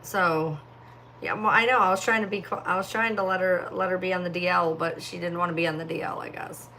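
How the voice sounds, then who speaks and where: A woman's voice: lowish voice, fairly even intonation, fairly quick, bright, forceful tone, slight background noise, rough recording. A young woman chatting casually on a phone livestream, sleepy and yawning, with a distinctive voice and lots of filler words. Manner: rambling, heavy filler words. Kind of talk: casual live-stream chat reading comments.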